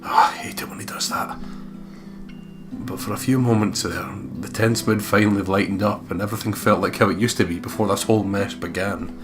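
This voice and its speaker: Male let's play host, lowish voice, story reading and casual remarks, game guitar music behind. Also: fairly even intonation, fairly quick. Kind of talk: reading game narration aloud with casual commentary.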